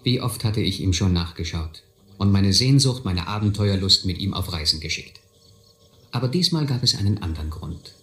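A man's voice: deep voice, lively intonation, normal speed, fairly bright tone. An actor playing a reflective man who narrates his boyhood adventures in a radio play. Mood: reflective, yearning. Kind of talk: scripted first-person radio play narration. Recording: echoey, slightly noisy audio drama recording.

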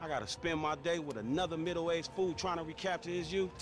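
An actor playing an irritable, sarcastic young American gangster in a video game cutscene. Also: male voice, fairly high pitch, brisk, close to an everyday voice. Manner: brash, mocking, profane.

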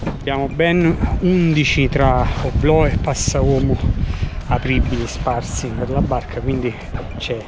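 A man's voice: mid-range voice, fairly even intonation, unhurried, loud music behind the voice. A middle-aged man giving a casual tour of his sailboat, hesitant, with many fillers and restarts, filmed outdoors in a harbor on a handheld camera. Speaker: sailor giving a boat tour. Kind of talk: casual walkthrough vlog. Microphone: handheld action camera at arm's length.

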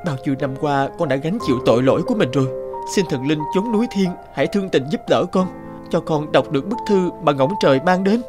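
An earnest young man from a cartoon fairy tale, acted by a woman. The voice sits a little low for a female register and is full of feeling, with music strong underneath. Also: animated, quick pace. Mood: anxious, pleading. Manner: earnest, emotional. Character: troubled, devoted young man regaining his sight.

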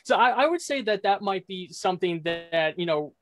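A man's voice: sits high, animated, normal speed, fairly bright tone, no room sound, studio quality. A young man making his case in a casual debate, opinionated and wordy. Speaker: debate contestant. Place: small room at home, video call.

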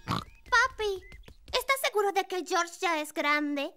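Cheerful cartoon piglet girl, very high-pitched, eager, slow. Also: expressive, sharp tone, exaggerated voice. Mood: reluctant. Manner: childlike, eager, questioning. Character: cheerful, inquisitive little girl pig, big sister. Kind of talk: children's cartoon dub acting.

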